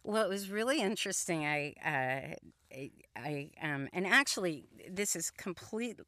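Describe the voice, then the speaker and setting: A woman's voice: lowish voice, expressive, unhurried, pushed and bright, dry recording. A middle-aged woman from the American Midwest, a guest on a radio interview, talking thoughtfully with frequent ums and restarts. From Columbus, Ohio, United States. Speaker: guest, engineer and entrepreneur.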